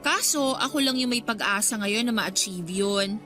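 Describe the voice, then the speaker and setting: Bright, perky, boastful Filipino schoolgirl. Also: mid-range voice, expressive, normal speed, faint music behind. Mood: smug. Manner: perky, self-assured, smug. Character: confident, ambitious, boastful teenage schoolgirl best friend. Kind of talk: radio drama dialogue.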